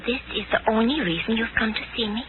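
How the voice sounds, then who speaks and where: A woman's voice: on the high side, lightly acted. Woman playing an elegant secretive antiques dealer, noisy old radio. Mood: guarded. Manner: formal, gracious, deliberate phrasing. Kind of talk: 1940s radio acting. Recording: muffled, noisy 1940s radio recording.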